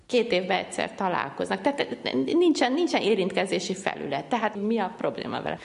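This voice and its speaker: A woman's voice: mid-range voice, gravelly. A middle-aged woman from Hungary, a linguist being interviewed on the radio, explaining at length with many hesitations and restarts. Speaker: linguist guest.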